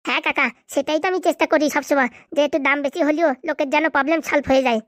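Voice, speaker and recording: A woman's voice: sits high, fairly even intonation, rapid-fire, dry recording, studio quality, close to an everyday voice. A woman voicing a devoted, studious schoolboy nephew in a comedy cartoon, speaking earnestly and with feeling. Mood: eager, reassuring. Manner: earnest, emotional.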